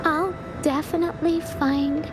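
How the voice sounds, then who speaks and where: An actress playing a gentle, earnest young girl in an anime-style video game, speaking in a hesitant, childlike way. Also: high-pitched, fairly even intonation, a little slow, over loud music. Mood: wistful, brave. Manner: soft-hearted, hesitant, childlike.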